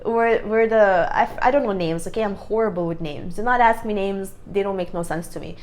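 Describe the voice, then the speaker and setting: A woman's voice: medium pitch, brisk, a little mellow. A woman vlogger giving a casual, earnest self-help talk to camera, rambling and correcting herself as she goes, on a clip-on microphone.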